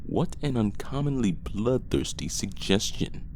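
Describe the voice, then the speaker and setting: A man's voice: mid-range voice, animated, measured pace, darkish tone, a little hiss or hum. An actor playing a menacing, imperious ruler in an audio drama, drawling his words. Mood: sardonic. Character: menacing male tyrant, imperious Grand Consul.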